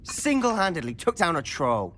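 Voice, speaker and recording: An actor playing a friendly, curious teenage schoolboy wizard in a video game. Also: male voice, fairly high pitch, sing-song, moderate pace, a bit bright, a little hiss or hum. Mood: concerned.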